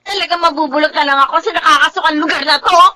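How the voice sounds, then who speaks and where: A woman's voice: high voice, racing, sharp tone, slight background noise. A woman with a Filipino accent playing a demanding, nagging mistress in a radio drama. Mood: nagging, disgusted. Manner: nagging, whining. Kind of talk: Tagalog radio drama acting.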